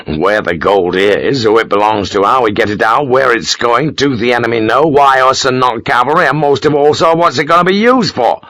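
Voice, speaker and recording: A man's voice: mid-range voice, moderate pace, few pauses, fairly bright tone, no room sound, natural voice. A man voicing a blunt soldier who answers in terse, dryly sardonic replies. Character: blunt, dry-witted male soldier. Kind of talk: audiobook character voice.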